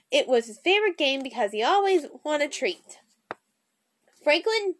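High-pitched woman reading a children's story. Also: fairly bright tone. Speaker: host reading children's stories aloud. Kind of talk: animated storybook read-along.

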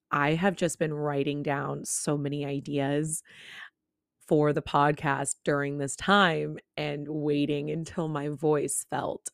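A young woman in her late twenties talks into a close mic in a low, gravelly register, dry and intimate with no room sound. Chatty and candid, she slips little laughs between her words. Her voice still sounds a touch worn from recovering. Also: no room sound. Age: 20s. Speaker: podcast host. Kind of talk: casual solo podcast chat. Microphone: own studio microphone, close.

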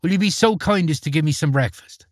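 A man's voice: a little high, fast, no echo, studio quality, pitched above the performer's own voice, close to an everyday voice. A man voicing Jack, a polite, hungry young boy who pleads in a raised voice.

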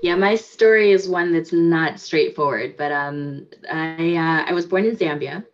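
Low-voiced woman on a lo-fi call. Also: unhurried. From United States. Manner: reflective, with frequent fillers and restarts. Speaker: guest. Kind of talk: casual interview. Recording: dull lo-fi audio.

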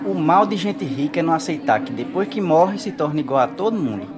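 A woman's voice: deep voice, lively intonation, a little fast, music in the background. A woman playing a cheeky, wry poor trickster in a radio soap opera. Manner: wry, sassy. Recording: noisy crowd ambience behind.

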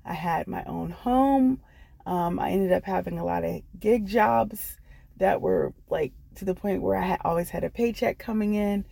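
A middle-aged American woman tells a casual personal story. Her voice is very creaky and on the low side, with a dark, mellow tone, and she often repeats herself as she thinks. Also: moderate pace, no echo. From Washington, D.C. area, United States. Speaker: guest storyteller.

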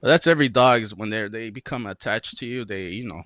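A man co-hosting a podcast in casual chat, his voice a bit raspy and stuffy from a sore throat. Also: fairly low pitch, quick pace, dry recording, studio quality. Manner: relaxed, laid-back, many fillers. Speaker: podcast co-host.

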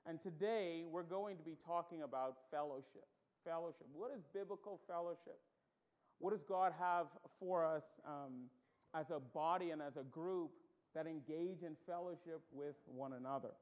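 A man's voice: fairly high pitch, moderate pace, lo-fi sound. A man with a light Bahamian accent preaching a sermon as pastor, conversational with many ums and restarts, rising to emphatic stresses.

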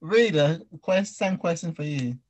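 Slow-talking man hosting a casual chat. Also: a little high, lively intonation, slight background noise. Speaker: podcast host and audio drama creator. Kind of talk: casual group interview.

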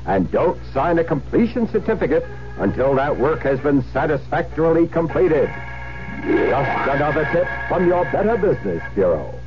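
A man's voice: a little high, big pitch swings, moderate pace, over music. A man giving scripted advice as the announcer of a radio public service spot. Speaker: advertisement announcer and adviser. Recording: lo-fi radio broadcast.

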